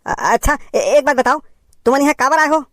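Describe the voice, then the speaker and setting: A woman playing a concerned village uncle in a cartoon comedy, gently lecturing in a hesitant way with a Chhattisgarhi accent. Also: high-pitched, fairly quick, no echo, studio quality. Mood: admonishing, earnest.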